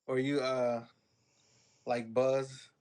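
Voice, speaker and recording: Podcast cohost guy, talks slow, teases a lot and laughs. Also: medium pitch, level tone, darkish tone, clear, resonant tone. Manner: teasing, laughs often. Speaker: podcast co-host. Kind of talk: casual chat.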